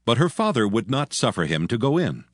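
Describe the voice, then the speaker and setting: A man narrating scripture dramatically in archaic English, solemn and measured. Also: medium pitch, sing-song, dry recording, clean studio sound.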